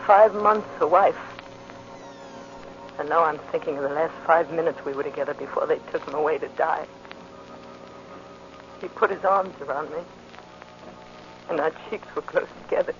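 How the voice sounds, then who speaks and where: A vengeful young widow in an old radio play, low for a woman and grieving. Her delivery is slow and deliberate, over music on a muffled vintage recording. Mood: menacing. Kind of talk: 1940s radio drama acting.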